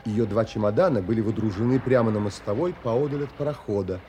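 An actor playing a wistful romantic man who narrates his longings in a measured, contemplative and expressive way, as in a literary audio play. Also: fairly low pitch, soft background music, natural voice. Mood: absorbed, reflective.

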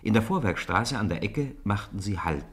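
A man's voice: lowish voice, animated, moderate pace, lightly acted. A man playing the storybook narrator of schoolboy adventures in a 1950s German radio play. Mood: storytelling.

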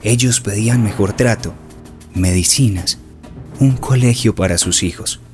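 A man narrates a radio serial in a fairly low voice. His delivery is grave and dramatic, over a clear music bed. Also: a little slow, a little mellow.

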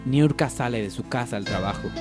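A man's voice: mid-range voice, a little fast, music in the background. A man narrating a parody radio soap opera in a mock-dramatic storytelling style.

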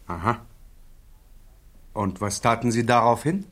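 An actor playing a formal, methodical lawyer who questions a witness at a hearing in a radio play. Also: male voice, mid-range voice, animated, unhurried, a bit bright.

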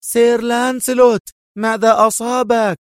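Guy doing a worried gentleman in a spy tale, high urgent voice, kinda putting on the fright. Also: little pitch movement, dry recording, studio quality. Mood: feigned panic. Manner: hurried, loudly alarmed. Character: secret agent feigning frantic alarm as a gentleman.

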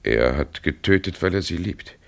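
Very deep male voice, gravelly, brooding reluctant killer, grave, formal, old radio drama. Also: animated, moderate pace. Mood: agitated, questioning. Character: brooding, reluctant man pressed into murder. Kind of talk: solemn 1960s German radio play acting.

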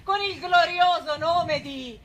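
A woman's voice: very high voice, slow, fairly bright tone, a little hiss or hum, heavily acted. A woman delivering scripted theatrical narration of historical events.

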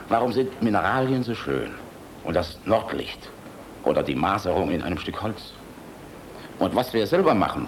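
An older man playing a gruff, fatherly, world-weary army general in a German radio play, blustery and philosophizing, shifting between barking and tenderness. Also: lowish voice, slight background noise. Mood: impassioned, philosophical.

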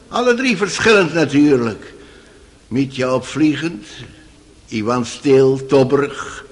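Radio play: male actor as old manservant witness, mid-pitched, rambling, hesitant, quick to take offence. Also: natural voice. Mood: reminiscing. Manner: rambling, hesitant, indignant when challenged. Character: excitable old male servant testifying in court. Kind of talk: radio drama acting.

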